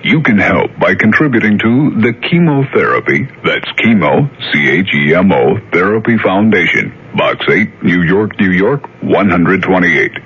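A male announcer reading a public service message in an earnest, deliberate way. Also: sits low, animated, a bit bright, breathy edge. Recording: dull old radio recording.

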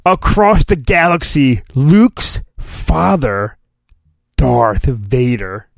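A man's voice: mid-range voice, drawn-out words, gravelly, no room sound, studio quality. A man hosting a podcast, doing a comedic dramatic storybook reading in a hammy way, breaking off for joking, profane asides. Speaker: podcast host.

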